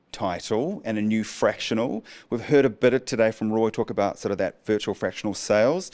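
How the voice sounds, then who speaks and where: A man's voice: fairly low pitch, darkish tone. A man moderating a panel discussion, putting questions to the speakers in turn.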